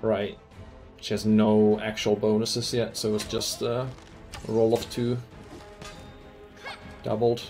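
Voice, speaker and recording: Low, gravelly man thinking aloud over a game. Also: completely flat delivery, takes it slowly, long pauses, dark tone, soft background music. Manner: thinks aloud with many ums, musing over loot and rules. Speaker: game streamer. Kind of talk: casual gameplay commentary.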